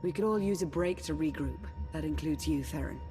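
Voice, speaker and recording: An actress playing a composed, caring advisor in a video game cutscene. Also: female voice, low-pitched, a little fast, over music, lightly acted. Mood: concerned.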